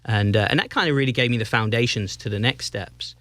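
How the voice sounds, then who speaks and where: An English man from around Northampton talks easily as a podcast guest, with plenty of fillers and restarts. His mid-pitched voice sits close and dry, with no room around it. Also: a bit bright, dry recording. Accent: English, Northampton area. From England. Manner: easygoing, halting with restarts and fillers. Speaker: guest, soccer club president. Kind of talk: casual interview chat.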